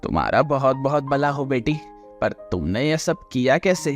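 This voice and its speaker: A man playing a hungry, pleading old wandering beggar in an animated moral story. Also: medium pitch, normal speed, soft background music. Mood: grateful.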